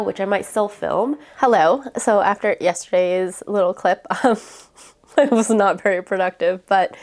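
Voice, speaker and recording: A young woman vlogging in a casual, rambling way, with a stuffy, congested voice from a cold. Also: on the low side. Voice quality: stuffy, nasal. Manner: chatty, rambling with many fillers. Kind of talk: casual vlog chat.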